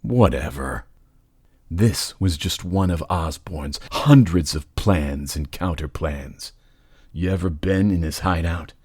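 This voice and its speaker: A man's voice: low-pitched, slow pace, darkish tone, husky, no echo, studio quality, full character voice. A man voicing a gloating, menacing, talkative supervillain in a comic book dub, with a taunting, condescending drawl. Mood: taunting, smug. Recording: faint music behind.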